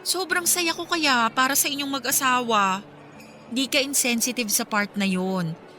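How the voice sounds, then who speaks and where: An actress playing a candid, supportive young wife who longs for a child, in an expressive Filipino radio drama. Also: female voice, high-pitched, moderate pace, soft background music. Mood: sincere, bittersweet.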